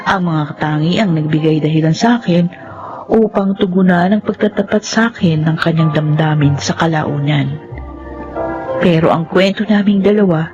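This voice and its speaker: A woman acting as a sincere young Filipina in a radio drama, polite and modest. The sound is dull and lo-fi, with clear music underneath. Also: fairly low pitch, darkish tone, natural voice. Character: earnest, polite young woman from a poor family. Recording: dull lo-fi broadcast with music behind.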